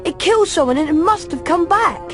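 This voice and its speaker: A woman's voice: very high-pitched, expressive, a little fast. A performer, a woman, playing a brave, earnest young schoolboy wizard in a video game. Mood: nervous, earnest. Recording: music under the voice.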